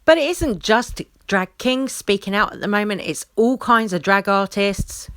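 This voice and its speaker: A woman's voice: medium pitch, lively intonation, measured pace, a bit bright. A woman hosting an opinionated commentary video, speaking in an emphatic, conversational way.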